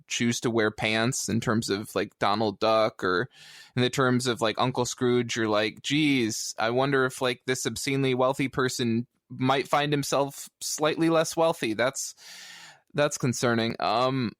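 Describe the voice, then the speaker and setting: A man's voice: medium pitch, fairly even intonation, measured pace, a bit bright, no room sound, clean studio sound. A man co-hosting a podcast, talking casually in a rambling, hesitant way with tongue-in-cheek asides. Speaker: podcast co-host. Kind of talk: casual comic book review chat.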